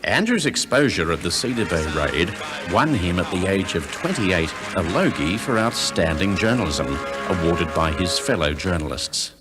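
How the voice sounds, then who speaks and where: A man's voice: deep voice, sing-song, normal speed, loud music behind the voice. A man with an Australian accent reading scripted narration for a documentary tribute.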